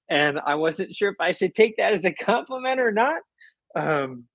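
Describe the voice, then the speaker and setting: Man with a higher-pitched voice, chatting casually. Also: no room sound. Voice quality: soothing. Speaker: livestream host, author. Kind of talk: casual livestream chat, reading and answering viewer comments.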